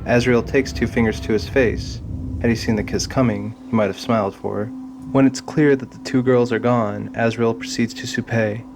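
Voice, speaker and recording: A man's voice: medium pitch, fairly even intonation, normal speed, gravelly, background noise. A man narrating a scripted audio drama.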